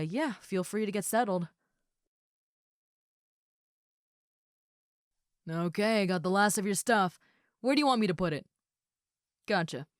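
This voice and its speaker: A woman's voice: medium pitch, clean tone, dry recording, clean studio sound, close to an everyday voice. A woman playing a muscular female ogre roommate in a roleplay audio, friendly, easygoing and caring, with a casual, chatty way of talking and hesitant asides. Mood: easygoing, helpful. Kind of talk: roleplay audio acting, addressed to the listener.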